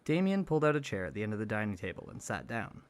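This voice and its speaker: A man's voice: on the low side, sing-song, fast, clear, resonant tone. A man narrating a scripted story as the narrator of an audio drama.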